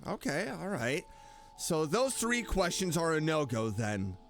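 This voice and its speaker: Man game streamer, high bright voice, playful, casual, faint music behind. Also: sing-song, a little slow. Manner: playful, talks back to game characters. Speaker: streamer, gaming host. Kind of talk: casual gameplay commentary.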